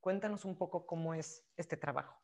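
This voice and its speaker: Low-voiced woman hosting a chat. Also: normal speed, gravelly. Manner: warm, hesitant questions with fillers. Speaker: host. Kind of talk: video call interview. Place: home room with bookshelves. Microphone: laptop webcam mic on video call.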